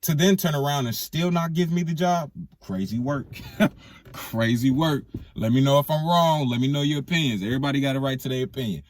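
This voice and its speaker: A man's voice: mid-range voice, brisk, no room sound. An American man giving a casual, emphatic opinion rant as a vlogger, repeating phrases for stress, his voice slightly worn from a cold. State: losing his voice, getting sick. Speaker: online vlogger.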